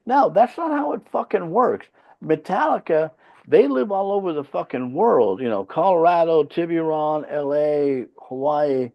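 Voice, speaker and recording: A man's voice: fairly high pitch, lively intonation, unhurried. Finnish-born guy, swedish first language, rock band leader on a podcast, cusses a lot and gets emphatic, kinda lo-fi sound. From Finland. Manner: blunt, profane, emphatic storytelling. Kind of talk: casual interview chat.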